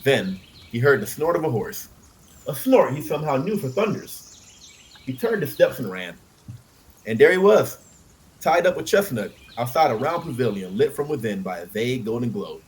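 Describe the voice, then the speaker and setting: A man's voice: medium pitch, big pitch swings, moderate pace. A man narrating fiction as an audiobook reader, expressively telling the story.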